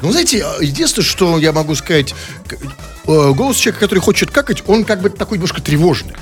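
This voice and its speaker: Lively guy radio co-host, bouncy up-and-down voice, jokey, music quietly underneath. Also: a little high, quick pace. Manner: playful, reads listener messages aloud, mimics voices. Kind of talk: comic radio banter.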